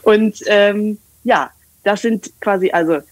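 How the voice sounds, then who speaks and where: Casting note: expressive, rambling woman in her 30s, casual interview chatter, close and dry recording. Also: mid-range voice, takes it slowly, dry recording, low-quality recording. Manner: chatty, rambling, many fillers. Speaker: communication coach and English trainer.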